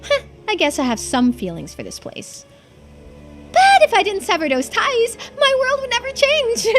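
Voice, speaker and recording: A woman's voice: extremely high pitch, huge pitch swings, unhurried, fairly bright tone, faint music behind, voice pushed up, exaggerated voice. A woman voicing a bitter, menacing young villainess, gloating and unhinged, in a visual novel read-through. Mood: manic.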